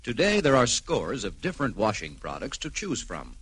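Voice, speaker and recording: A man announcing a vintage radio commercial in an emphatic, deliberate way. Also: mid-range voice, wildly swooping pitch, fairly bright tone, slightly rough, no room sound.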